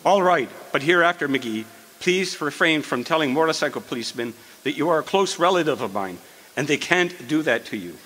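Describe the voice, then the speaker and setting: An actor playing a pompous, long-winded small-town mayor with formal, wordy speech in an old-style radio comedy. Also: male voice, fairly high pitch, animated, fairly quick, sharp tone, poor audio. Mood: affronted, officious. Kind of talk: 1940s radio comedy acting, live re-creation.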